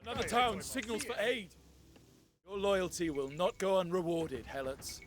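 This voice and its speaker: An actor playing a noble, resolute Spartan commander in a historical video game, speaking in a formal, declamatory way. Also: male voice, high voice, normal speed, a bit bright, soft background music. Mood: magnanimous authority.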